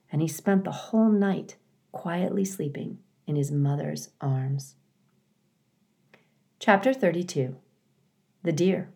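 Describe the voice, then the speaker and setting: A woman reading a children's book aloud as scripted narration, in a measured, expressive storytelling way. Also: deep voice. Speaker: storybook reader.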